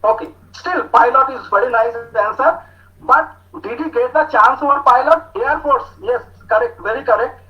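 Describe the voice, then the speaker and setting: A man with an Indian English accent giving an online talk to students, speaking slowly with many hesitations as he reads out their answers. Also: extremely high pitch. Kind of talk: online lecture with student chat. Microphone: video call. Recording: muffled video call audio.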